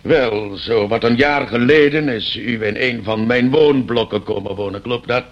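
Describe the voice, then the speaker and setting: An actor playing a stern, condescending landlord in a radio play, lecturing with pompous mock courtesy. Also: male voice, mid-range voice, normal speed, lo-fi sound, natural voice. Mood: stern, indignant. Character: stern, condescending landlord scolding his eccentric tenant.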